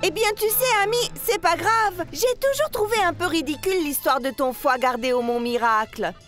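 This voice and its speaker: Brave, very high-pitched little turtle boy. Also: normal speed, a bit bright, faint music behind. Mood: gentle, determined. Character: brave, loyal young turtle boy hero, kind-hearted. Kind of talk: French dubbed children's cartoon acting.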